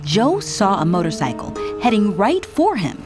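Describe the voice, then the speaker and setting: A woman reading scripted narration for a children's read-along storybook in an expressive, dramatic way. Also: medium pitch, moderate pace. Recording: music behind the narration.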